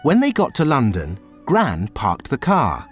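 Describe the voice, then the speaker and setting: A man reading a children's storybook aloud in a slow, very expressive storytelling way. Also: mid-range voice, soft background music. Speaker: storybook reader. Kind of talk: children's read-aloud narration.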